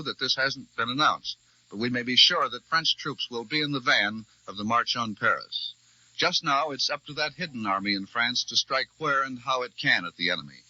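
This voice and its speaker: An American man reading scripted news as a formal, measured radio correspondent in a 1940s broadcast. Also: medium pitch, pushed and bright, no echo. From United States. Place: radio newsroom. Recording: dull vintage 1940s radio broadcast recording.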